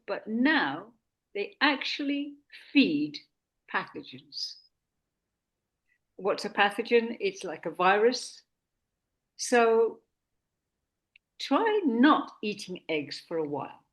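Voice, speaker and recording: Slow, deliberate woman hosting a chat. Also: mid-range voice. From Sweden. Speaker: host. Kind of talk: casual talk.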